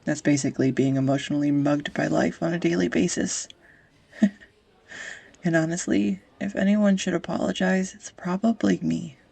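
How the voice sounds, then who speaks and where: A woman acting an anxious, tender young woman in an intimate audio roleplay, speaking in a halting, confessional ramble on a close microphone. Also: fairly low pitch, steady tone, gravelly, dark tone, slightly rough, full character voice. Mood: wry, nostalgic. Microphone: close USB studio microphone.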